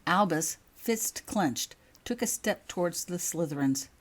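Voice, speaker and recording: A woman narrating fiction in an expressive storybook style, reading as the author of the tale. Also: fairly low pitch, unhurried.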